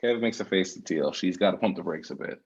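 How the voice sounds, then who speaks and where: Screenplay narrator, male, very low and husky, reading scene directions. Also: fast, lo-fi sound. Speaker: reader of stage directions.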